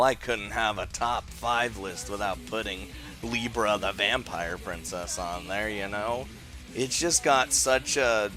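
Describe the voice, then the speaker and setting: A man with a bright, forward voice and a middling pitch hosts a casual list-style game review. He talks informally and enthusiastically, wandering through his thoughts. Faint music plays underneath. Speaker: video game reviewer and host. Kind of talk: casual top-five list commentary.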